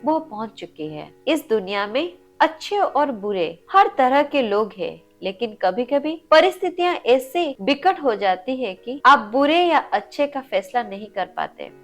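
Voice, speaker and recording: A woman storyteller reading a scripted inspirational moral story in an earnest, instructive way. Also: high-pitched. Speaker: storyteller and channel host. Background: faint background music.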